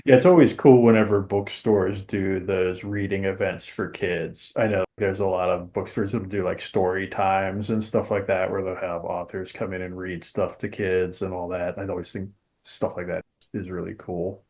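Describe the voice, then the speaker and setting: Male co-host, slightly low pitch, relaxed chat style, dry teasing delivery. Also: normal speed. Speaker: podcast co-host. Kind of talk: casual chat.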